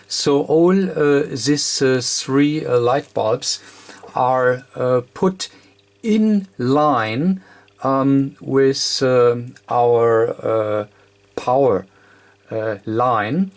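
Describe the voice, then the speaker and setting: A presenter giving an unscripted technical explanation in a very hesitant, halting way, with constant ums and uhs between short phrases. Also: mid-range voice. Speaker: presenter of an electronics repair tutorial.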